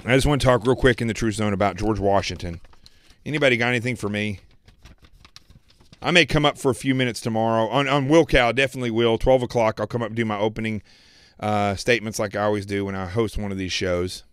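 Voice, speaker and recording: A man hosting a political commentary livestream, preaching emphatically and repeating phrases, his voice going hoarse. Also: on the low side, fairly even intonation, fast, a bit bright, no room sound. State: losing his voice. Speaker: show host.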